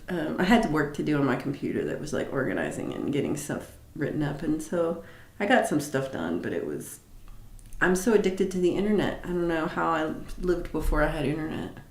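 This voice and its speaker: A woman hosting a casual livestream chat, rambling with many fillers and restarts, with a low voice. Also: creaky, echoey room. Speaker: livestream host. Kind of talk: casual live chat reading comments.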